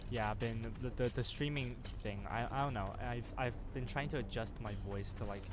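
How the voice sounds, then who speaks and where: A young man streaming a video game, chatting in a rambling, casual way with many fillers, keeping his voice quieter than usual to rest it. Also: medium pitch, moderate pace, noisy background. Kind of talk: casual gameplay commentary. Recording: voice over loud game music.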